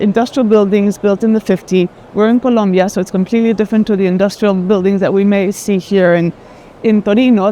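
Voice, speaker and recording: A woman in casual conversation as a guest at an art fair, telling stories in an animated way with emphatic pauses. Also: medium pitch. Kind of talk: casual chat. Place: art fair, walking tour. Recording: noisy busy fair hall ambience.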